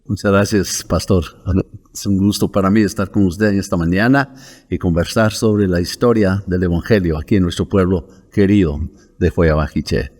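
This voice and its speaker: An older man from Wisconsin with an American English accent, a missionary guest who recounts his personal testimony in a measured way, pausing often with fillers. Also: deep voice, a little mellow, long reverb tail. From United States (Wisconsin).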